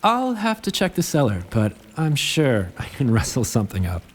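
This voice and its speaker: A man's voice: mid-range voice, wildly swooping pitch, normal speed, husky. A man playing an obsequious, fussy attendant in a fantasy audio drama, with a fawning, courtly way of talking. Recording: long reverberant hall.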